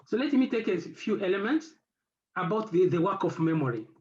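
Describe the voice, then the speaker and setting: Lively-voiced French-speaking man on a panel. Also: high voice, lo-fi sound. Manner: halting, searching for words with many fillers. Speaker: head of an institute. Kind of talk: academic panel talk.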